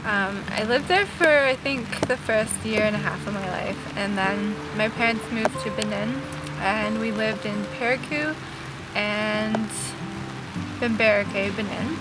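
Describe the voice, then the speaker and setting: A young woman from Canada, a university student, chattily telling her life story in quick bursts. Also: mid-range voice, low-quality recording. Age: young adult. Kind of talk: personal story told to camera. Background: background music.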